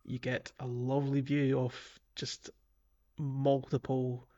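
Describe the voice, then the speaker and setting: A man's voice: mid-range voice, completely flat delivery, slow, dark tone, dull audio. A Scottish man chatting informally and enthusiastically as a podcast host. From Scotland. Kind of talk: casual podcast chat.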